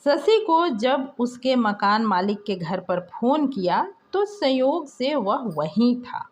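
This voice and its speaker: A woman narrating a scripted story as a storyteller, reading in a measured, expressive way. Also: a little high.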